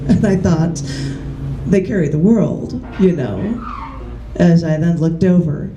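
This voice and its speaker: A woman poet giving a live reading in a bookstore, reading in a measured, deliberate way with chatty, laughing asides between poems. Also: lowish voice, animated, highs cut off, noisy background. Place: large bookstore, indoors. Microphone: camera mic. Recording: echoey room from the camera.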